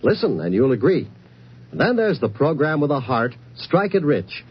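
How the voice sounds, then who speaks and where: Old-time American radio pitchman, lively and smooth. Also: moderate pace. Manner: polished, salesy. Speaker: radio network announcer. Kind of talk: 1950s radio promo announcing. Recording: dull 1950s radio recording.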